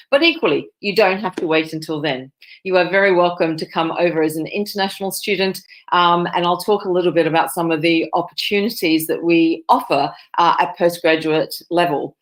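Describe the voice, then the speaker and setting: A woman, a nursing professor giving a conference presentation over a video call, with many fillers and restarts. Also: fairly low pitch. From Australia. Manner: fillers and self-corrections.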